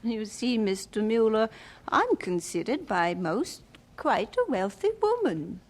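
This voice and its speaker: An elderly, well-to-do widow with a very high, lively voice. She is genteel and deliberate, a devout and determined lady in an audio drama who finds young people rather amusing. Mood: amused, genteel. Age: older.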